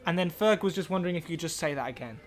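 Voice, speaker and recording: A man's voice: a little high, expressive, very fast, natural voice. A man playing a needy, obsessive superfan who hosts a podcast and interviews in a rambling, repetitive way. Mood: fawning, awkward. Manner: rambling, repetitive, filler-heavy. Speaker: podcast host.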